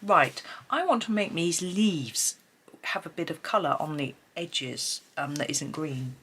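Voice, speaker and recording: A woman's voice: low voice, lively intonation, measured pace, noisy background. A middle-aged British woman with a stuffy, blocked nose, chatting casually and rambling with many ums while she colours. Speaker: colouring channel host.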